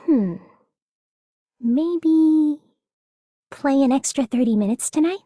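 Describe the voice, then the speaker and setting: A woman's voice: high voice, lively intonation, takes it slowly, dark tone, no room sound, clean studio sound. An actress playing an earnest, mild young girl in a video game, with a modest, hesitant manner. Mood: wistful, modest. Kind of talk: video game character voice line.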